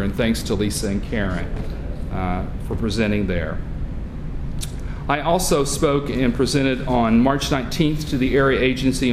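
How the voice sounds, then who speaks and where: A man chairing a public commission meeting into a room microphone, with a loud voice and a halting delivery full of fillers and restarts. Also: mid-range voice, roomy sound, background noise. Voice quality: self-described loud voice.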